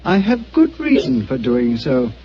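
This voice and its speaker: A man's voice: medium pitch, wildly swooping pitch, unhurried, faint music behind. An actor playing an anxious, businesslike male client with an urgent, worried manner in an old radio drama. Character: anxious, brusque male insurance broker client. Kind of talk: 1940s radio acting. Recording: dull old radio broadcast with faint hiss.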